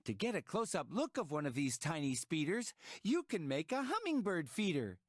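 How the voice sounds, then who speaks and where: A woman's voice: low voice, sing-song, moderate pace, dry recording, studio quality. A woman voicing a cheerful cartoon child who teaches preschoolers in sing-song rhyme. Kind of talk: scripted rhyming children's game narration.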